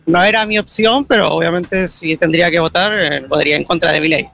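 A man's voice: on the high side, brisk, creaky, pushed and bright. A man from Argentina who lived for years in Chile, giving casual, hesitant interview answers as he searches for words. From born in Argentina, lived many years in Chile. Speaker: passer-by interviewee, photographer. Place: street outdoors.

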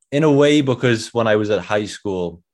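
A young man with a Welsh accent hosting a podcast, asking questions in a relaxed, conversational way. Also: fairly low pitch. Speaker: podcast host. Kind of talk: casual interview questions.

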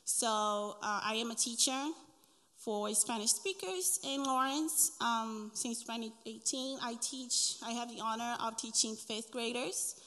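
Woman, 30s, Brazilian Portuguese accent, fairly high voice, earnest testimony, long hall reverb. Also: unhurried, pushed and bright. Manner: earnest, with frequent ums and restarts. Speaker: guest speaker at a church women's breakfast. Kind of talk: personal testimony talk.

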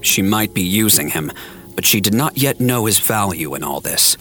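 Low-voiced man narrating an audio drama. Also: expressive, normal speed, a bit bright, faint music behind.

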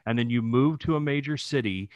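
A man's voice: mid-range voice, brisk, no echo. A man co-hosting a casual podcast, giving blunt opinions in an emphatic, profane way. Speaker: podcast co-host.

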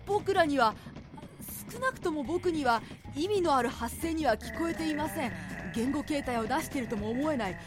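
A woman's voice: sits high, animated, normal speed. A woman acting a timid, bookish young male scholar in a radio drama, polite and plaintive in speech. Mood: analytical, curious. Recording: music behind the voice.

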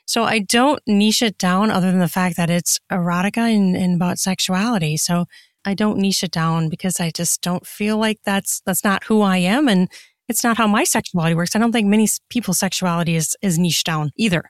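A woman with a medium-pitched voice, talking casually as an interview guest. She is effusive and emphatic, and the sound is dry with no room echo. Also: fairly quick. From United States. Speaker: podcast guest, erotica author.

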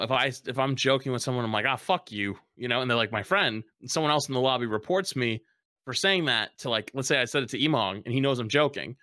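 A man's voice: medium pitch, sharp tone, no echo, studio quality. A young man speaking bluntly in a casual panel discussion, swearing freely as a streamer sharing his view.

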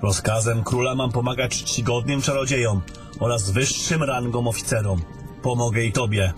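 A man's voice: mid-range voice, steady tone, normal speed, music in the background, lightly acted. A man playing a young, obedient army captain in a fantasy audio drama, speaking formally and deferentially. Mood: dutiful. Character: young dutiful soldier captain, earnest and obedient.

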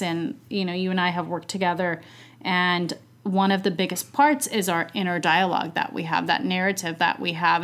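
A woman in her thirties, a coach born in Iran, chatting casually and upbeat as a podcast guest. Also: fairly low pitch. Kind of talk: casual interview chat.

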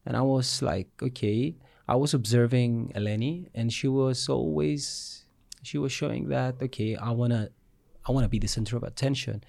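A man's voice: mid-range voice, moderate pace, creaky, warm tone, dry recording. A man in a casual interview, asking questions and sharing his own examples. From Cyprus.

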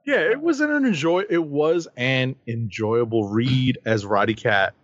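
A man co-hosting a comics podcast, listing writers and artists before giving his take. His pitch swings widely as he talks. The sound is dry and a little dull, as if from a home setup. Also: a little slow, no room sound. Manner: lively, reads credits then chats. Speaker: podcast co-host. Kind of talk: casual comic book review chat.

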